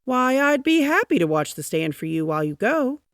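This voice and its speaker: A woman's voice: high voice, sing-song, moderate pace, no echo, clean studio sound, pitched above the performer's own voice. A woman voicing a shy, kindly man in a children's storybook, speaking politely, earnestly and with emphasis. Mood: cheerful, obliging. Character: shy lonely man turned cheerful and kindly. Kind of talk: storybook character reading.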